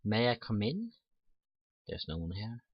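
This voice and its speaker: Low, husky-voiced man reading aloud. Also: big pitch swings, measured pace, lo-fi sound. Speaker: let's player. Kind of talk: reading a visual novel aloud with casual asides. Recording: roomy sound with faint music behind.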